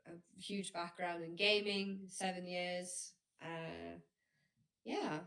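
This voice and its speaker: A woman's voice: fairly low pitch, steady tone, takes it slowly, fairly bright tone. A woman from Norway, guest co-hosting a casual podcast, laughing often and easygoing. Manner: giggly, easygoing. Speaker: guest co-host. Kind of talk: casual chat.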